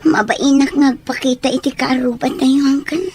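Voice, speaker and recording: A woman's voice: sits high, fairly even intonation, moderate pace, a little mellow, slight background noise, close to an everyday voice. A woman playing a sincere, wounded young daughter with a Filipino accent in an Ilocano radio drama. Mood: ashamed, regretful.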